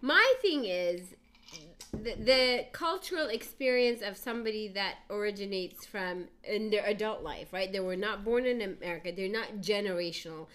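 A woman's voice: mid-range voice, lively intonation, normal speed, fairly bright tone. A woman from Africa, raised in America since childhood, arguing her point assertively in casual podcast talk. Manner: assertive, argumentative, laughs. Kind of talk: casual group chat.

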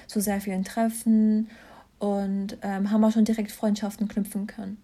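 A woman's voice: medium pitch, flat delivery, moderate pace, warm tone. A young woman from Germany, talking casually about her own experiences as an interview guest. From Mannheim, Germany. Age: young adult. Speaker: interview guest, science student. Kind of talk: casual conversational interview, personal account.

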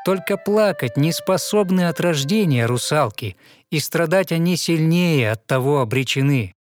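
A man narrating a fairy tale in verse with expressive, rhythmic recitation. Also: on the high side, moderate pace. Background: music behind the voice.

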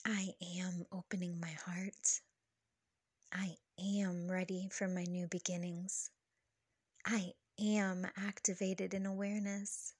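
Slow, breathy woman guiding a meditation. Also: fairly low pitch, little pitch movement, no echo, studio quality. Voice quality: soft, breathy, partly whispery. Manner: soothing, slow, measured delivery. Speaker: guided meditation host. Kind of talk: scripted guided meditation with spoken affirmations.

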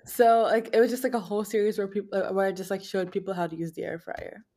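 A woman's voice: mid-range voice, fairly even intonation, brisk, darkish tone. Young woman with a nasal voice, chatting casually. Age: 20s. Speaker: podcast guest, food blogger. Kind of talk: casual conversational interview.

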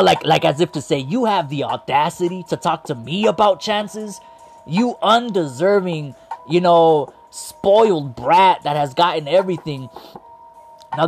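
An excited man, rambling through a wrestling recap and losing his voice as he goes. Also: a little high. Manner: hyped, rambling, heavy on "man" and "like". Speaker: wrestling show host. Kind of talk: excited casual commentary.

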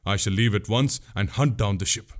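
A man's voice: fairly low pitch, normal speed, lightly acted. A man playing a bold, commanding young prince in a historical tale, with formal, resolute speech. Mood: defiant. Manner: formal, resolute, archaic wording.

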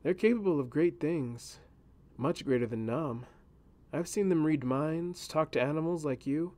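A man voicing a kindly adult male castle servant who speaks gently and patiently in an audiobook. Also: a little high, unhurried, lightly acted. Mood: reassuring. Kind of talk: audiobook character voice.

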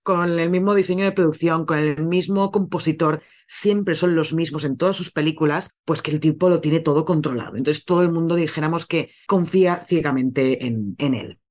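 A man's voice: a little high, normal speed, hardly any pauses. A man hosting a casual film podcast, chatty and correcting his own slips as he goes. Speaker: podcast host presenting a film.

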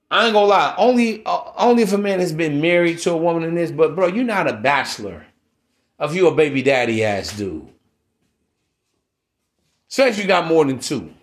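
A man with an American accent giving an informal, blunt monologue to listeners, slangy and preachy, repeating himself for emphasis. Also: sits high. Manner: emphatic repetition. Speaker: podcast host.

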